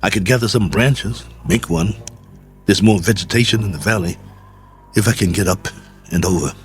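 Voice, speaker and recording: An actor playing a lonely, wry stranded astronaut colonel, musing aloud in a radio drama monologue. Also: male voice, lowish voice, normal speed, natural voice. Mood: musing, resigned. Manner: reflective, wry, conversational musing. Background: music.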